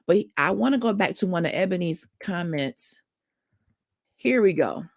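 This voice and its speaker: A woman hosts a talk show discussion with a gravelly edge to her voice. She speaks earnestly and colloquially, leaning hard on her points. The sound is dry, with little room around it. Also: fairly low pitch, lively intonation, normal speed, no room sound. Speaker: host.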